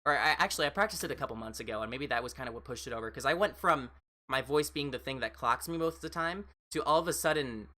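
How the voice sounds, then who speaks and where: A woman with an American accent and a fairly deep voice, chatting casually as a livestream host and replying to viewers in a rambling, reassuring way. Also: expressive, quick pace, a bit bright. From United States.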